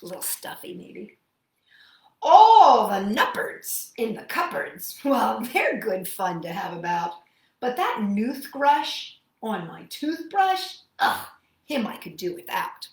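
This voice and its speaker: A woman hosting children's storytime, reading picture books aloud and chatting to young listeners in a playful, expressive, sing-song manner. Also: a little high, slow, echoey room.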